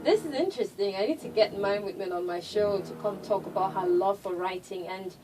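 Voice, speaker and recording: A woman's voice: mid-range voice, a little fast. Lady radio host, chatty, laughs a lot, mic kinda far, noisy. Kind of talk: casual radio interview. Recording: distant mic with noise.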